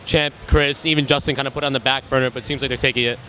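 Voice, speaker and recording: A man interviewing a player outdoors, upbeat and affirming as he asks quick questions. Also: mid-range voice, fairly even intonation, bright, forceful tone, slight background noise. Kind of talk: casual interview.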